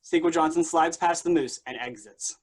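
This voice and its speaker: A man's voice: on the high side, moderate pace, low-quality recording. A man reading stage directions aloud as the narrator of a staged play reading.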